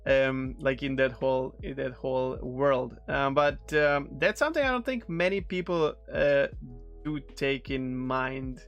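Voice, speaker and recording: A man's voice: medium pitch, measured pace, highs cut off, soft background music. A man in his thirties with a Serbian accent, a non-native English speaker from Serbia, casually rambling with friendly ums and restarts. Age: 30s. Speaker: streamer reacting to a video. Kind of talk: casual reaction commentary.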